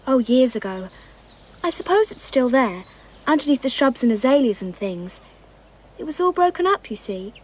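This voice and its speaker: A dreamy twelve-year-old English girl in a radio drama. Her voice is high and very melodic, frank and innocent, with birdsong and outdoor noise behind it. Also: very animated, moderate pace. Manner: matter-of-fact, innocent, candid. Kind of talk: broadcast radio drama acting.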